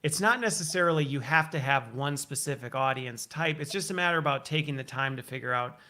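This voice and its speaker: Inquisitive man with a bright, forceful voice. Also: a little high, a little fast. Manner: talkative, inquisitive, recaps and checks back. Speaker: show host and interviewer. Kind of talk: conversational interview, thinking aloud.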